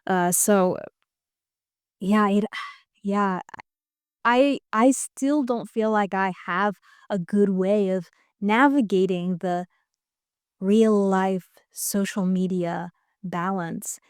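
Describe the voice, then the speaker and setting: Casting: a reflective woman guest on an interview podcast, smooth clear voice, dry close mic. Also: medium pitch, steady tone, slow, long pauses, darkish tone, no room sound. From United States. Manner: thoughtful, hesitant, many restarts.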